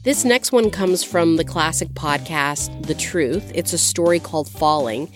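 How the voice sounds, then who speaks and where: A woman's voice: low-pitched, measured pace, over music. A woman hosting a podcast in a scripted radio presenting style. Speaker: podcast host.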